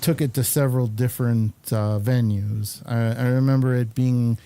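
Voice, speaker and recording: A man hosting a casual interview, talking in a halting, hesitant way. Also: mid-range voice, fairly even intonation, warm tone. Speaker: show host and interviewer.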